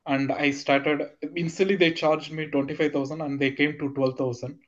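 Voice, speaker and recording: A man calling in to a lawyer, explaining his case in a halting, roundabout way. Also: fairly high pitch, steady tone. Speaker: caller. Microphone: conference call line. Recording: dull lo-fi conference call audio.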